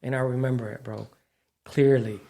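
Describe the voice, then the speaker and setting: A man's voice: medium pitch, fairly even intonation, moderate pace, gravelly, warm tone. A middle-aged man from Puerto Rico with a Puerto Rican accent, co-hosting a casual podcast, emphatic and switching between English and Spanish. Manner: emphatic and heated. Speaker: podcast co-host. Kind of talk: casual chat.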